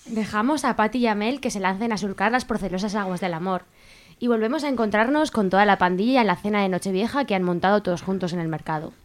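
A woman's voice: mid-range voice, fast talker. A woman reading scripted narration for a radio soap.

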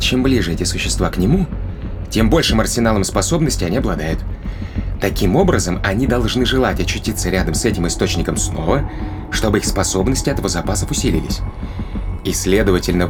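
An actor playing a stern, impatient spaceship officer who questions bluntly and reasons in formal phrases, in an audio drama. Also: male voice, sits low, background noise.

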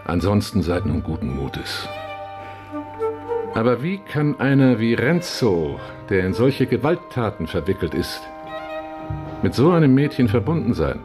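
An actor playing a stern but kindly cardinal in a radio drama, admonishing in a measured, dignified way. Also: male voice, fairly low pitch, very animated, music in the background. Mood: reassuring, resolute. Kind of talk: German radio drama acting.

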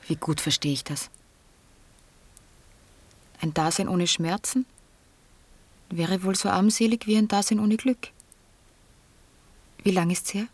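A woman's voice: mid-range voice, steady tone, slow pace, pauses often, dry recording. A woman playing an earnest, brooding young lady in a German radio drama, with intense, wistful, literary phrasing.

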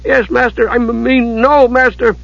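Cracked, high-pitched, fussy old monk. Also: male voice, expressive, measured pace, gravelly, sharp tone, slightly rough, no echo, muffled recording, heavily acted. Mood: cowed, flustered. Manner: prissy, exclaiming, easily flustered. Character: fussy, fearful elderly male monk, easily scandalised. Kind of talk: dramatised audiobook character.

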